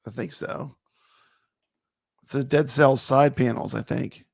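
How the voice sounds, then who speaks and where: A man co-hosting a casual live stream, chatting with viewers; his voice is still gravelly from being sick. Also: mid-range voice, slow pace, dry recording, clean studio sound. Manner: relaxed, chatty, greets viewers. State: gravelly, voice not fully recovered.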